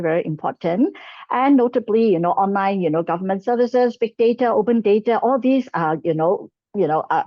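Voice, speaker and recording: Halting woman on a lo-fi video call. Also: mid-range voice, dry recording. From Hong Kong. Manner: halting, many fillers and restarts. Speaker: chief sustainability officer, panelist. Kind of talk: conference panel talk over video call.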